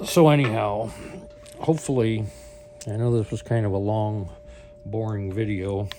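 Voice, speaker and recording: Low-voiced man rambling over a noisy hum. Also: measured pace. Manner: rambling, thinking aloud. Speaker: product review video host. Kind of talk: casual unscripted product demonstration. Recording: clear steady hum and background noise.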